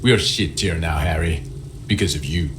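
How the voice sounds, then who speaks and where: Bitter, low-voiced police detective. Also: male voice, normal speed, very noisy. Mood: bitter, angry. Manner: sneering, profane, blunt. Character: bitter, abrasive, cynical male police detective. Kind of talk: dramatic video game dialogue. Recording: music behind the voice.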